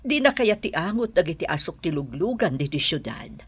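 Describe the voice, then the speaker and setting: A woman's voice: fairly low pitch, very animated, brisk, husky. A woman acting in a radio drama as a well-off, concerned city madam and mother with an Ilocano accent.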